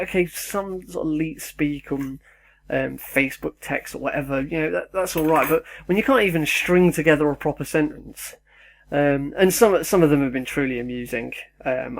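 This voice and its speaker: A man's voice: mid-range voice, vocal fry. A young man, rambling casually and self-deprecatingly in his home kitchen as a YouTuber. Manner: chatty, sidetracked, self-deprecating.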